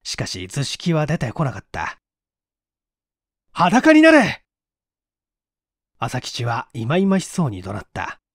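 A man's voice: medium pitch, sing-song, normal speed, few pauses, slightly rough, dry recording, clean studio sound. A man reading a story aloud as scripted, dramatic audiobook narration. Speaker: audiobook reader, stage performer.

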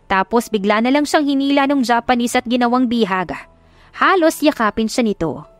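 A woman's voice: on the high side, lively intonation, normal speed, no echo, faint music behind. A woman reading a dramatic scripted love story as an audiobook narrator.

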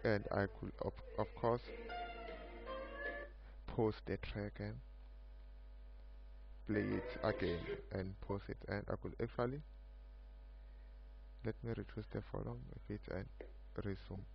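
A man giving an unscripted screen-recorded software demo of his own project, speaking haltingly in short broken phrases. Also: on the low side, steady tone, vocal fry, music in the background. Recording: muffled lo-fi mic with background hum.

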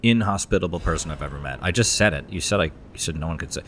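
A man's voice: low-pitched, fast, fairly bright tone, slight background noise. A man doing casual let's play commentary as host, wry and jokey, repeating phrases for effect.

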